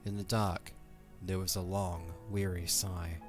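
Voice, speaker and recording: A man narrating a scripted story as the narrator of an audio drama, in a measured, solemn way. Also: deep voice, little pitch movement, music in the background.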